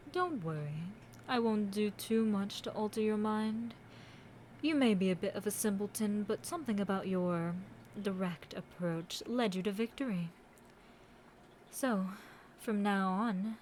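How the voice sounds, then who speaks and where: A seductive demon queen acted by a woman speaks slowly and grandly in an audio roleplay. She is haughty, scheming and taunting, every phrase delivered with deliberate villainous relish. Clear noise sits behind the voice. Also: mid-range voice, darkish tone, lightly acted. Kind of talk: scripted roleplay audio drama monologue.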